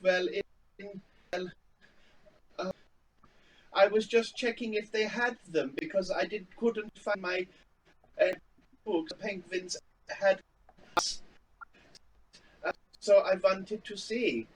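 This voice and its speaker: A man's voice: high-pitched, slow, long pauses, far from the mic, noisy background. A man playing a timid, eager helper at an expedition camp, who hesitates and fumbles for his words. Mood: fumbling. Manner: hesitant, fumbling, full of ums. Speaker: actor in a telepresence improv rehearsal.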